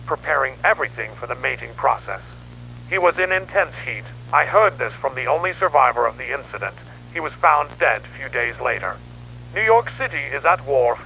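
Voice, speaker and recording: A man's voice: medium pitch, sharp tone. A man playing a grim newspaperman who records a horror investigation log in a dramatic, grave storytelling manner. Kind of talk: scripted analog horror narration. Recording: noisy radio-like tape with hum.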